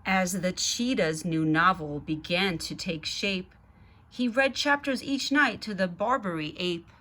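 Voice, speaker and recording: A woman's voice: lowish voice, unhurried, fairly bright tone, a little hiss or hum, poor audio. A woman reading a children's picture book aloud, speaking directly to young listeners with little asides as she goes. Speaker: guest storybook reader.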